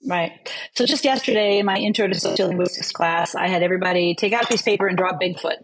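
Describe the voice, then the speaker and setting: A woman's voice: fairly low pitch, fast, noisy background. A woman professor of linguistics, explaining her teaching in a vivid, storytelling manner during an interview. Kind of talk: conversational interview.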